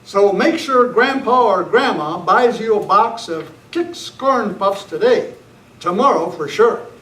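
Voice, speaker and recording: High-voiced old-time radio announcer played by a man. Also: lively intonation, unhurried, fairly bright tone. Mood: upbeat selling. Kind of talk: 1940s children's radio serial narration and sponsor pitch. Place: club meeting room, far camera. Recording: echoey, distant camera sound, faint noise.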